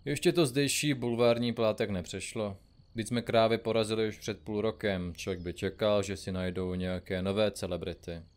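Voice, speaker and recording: A man plays a stoic, grumbling male detective in a comedy radio play. His voice is low and his delivery is dry and deadpan, that of a confirmed grouch. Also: close to an everyday voice. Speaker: detective in a comedy mystery podcast. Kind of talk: audio drama acting.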